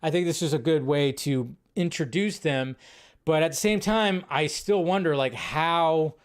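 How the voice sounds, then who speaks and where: Chatty higher-voiced man rambling about films. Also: unhurried, clear, resonant tone. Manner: rambling, self-correcting, chatty. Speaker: movie news host. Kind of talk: casual live-stream commentary.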